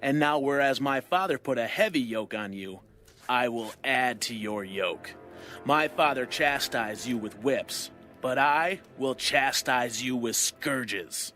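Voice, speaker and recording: A man plays an arrogant young advisor to a king in a Bible audio drama. He declaims with scorn and emphasis at a middle pitch, over light music. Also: animated, slow, bright, forceful tone.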